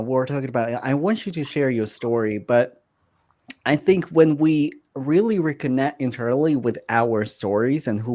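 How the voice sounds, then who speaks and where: A man's voice: medium pitch, darkish tone. Thirties man from Russia, coach guest, chatty and full of restarts. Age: 30s. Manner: conversational, many fillers and restarts. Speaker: career and business coach. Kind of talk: podcast guest telling his story.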